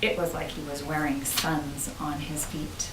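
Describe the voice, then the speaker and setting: A woman reading a children's book aloud with expressive storytelling, as a school principal would to pupils. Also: low-pitched, unhurried. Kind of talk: children's audiobook narration. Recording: roomy, distant, noisy home-style recording.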